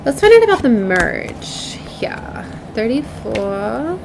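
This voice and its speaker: A woman's voice: a little high, very animated, slow pace, vocal fry, background noise. A woman hosting a spiritual card reading, reading oracle messages aloud in a mystical, declarative way. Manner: solemn, declarative, mystical phrasing. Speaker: spiritual card reader, host. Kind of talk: reading oracle cards aloud with casual asides.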